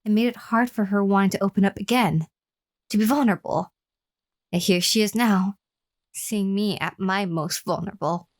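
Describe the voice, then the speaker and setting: Woman acting fearful captive narrator, mid-pitched. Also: moderate pace, natural voice. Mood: wistful, resigned. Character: frail, fearful captive lover recounting her torment. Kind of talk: dramatic first-person narration in horror audio drama.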